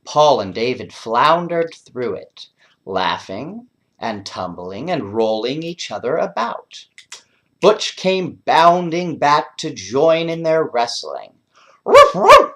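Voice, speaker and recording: A man reading a bedtime story aloud and chatting to young listeners, expressive and often putting questions directly to them. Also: medium pitch, slow pace. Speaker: children's storyteller.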